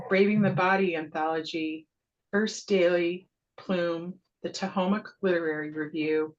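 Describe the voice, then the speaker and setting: A woman's voice: fairly low pitch, highs cut off. A woman hosting a poetry reading over a video call, welcoming the audience and introducing the featured poet, with frequent ums. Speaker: host and town poet laureate.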